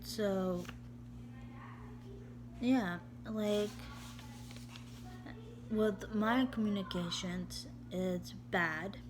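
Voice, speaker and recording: A woman reading a text aloud slowly and haltingly in a steady, even tone, often repeating words and restarting. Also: mid-range voice. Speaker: vlogger. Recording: steady background hum.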